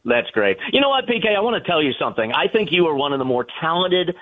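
A man calling in to a sports radio show, excitable and rambling, laughing often, his voice climbing when he gets worked up. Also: fairly high pitch, quick pace, phone-quality sound. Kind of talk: casual sports radio chat. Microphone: phone or remote line from a hotel room.

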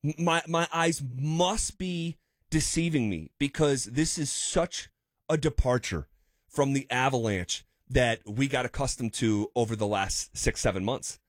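Analytical sports radio host, dry studio. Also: male voice, medium pitch, a little slow, no room sound. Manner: analytical, builds points with frequent restarts. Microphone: studio microphone.

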